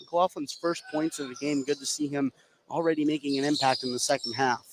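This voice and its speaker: A man's voice: a little high, steady tone, brisk, a little hiss or hum, low-quality recording. A man giving conversational color commentary and analysis during a live basketball broadcast from a gym. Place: at courtside in an indoor gymnasium.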